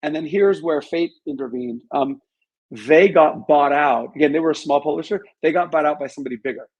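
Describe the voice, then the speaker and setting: A man's voice: on the high side, a little fast, vocal fry, husky, rough recording. A middle-aged man speaking casually as an interview guest, telling stories in a candid, self-deprecating and chatty way. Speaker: interview guest, author and business owner.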